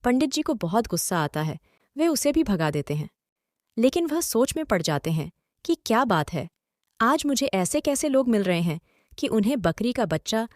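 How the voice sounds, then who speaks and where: A woman's voice: medium pitch, a little mellow, no echo, studio quality. A woman narrating a scripted story as host and storyteller.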